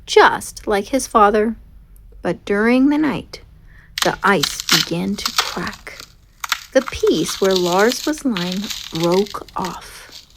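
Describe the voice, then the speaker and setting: A woman reading a picture story aloud for children in an expressive narrating style. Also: medium pitch. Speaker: storybook reader.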